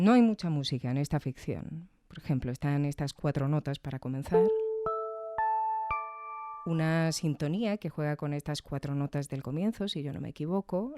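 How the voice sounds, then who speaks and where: A woman podcaster talks through her review in a reflective, conversational way. Her voice is mellow and smooth, recorded close and dry. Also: deep voice, no room sound. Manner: reflective, frequent tag questions.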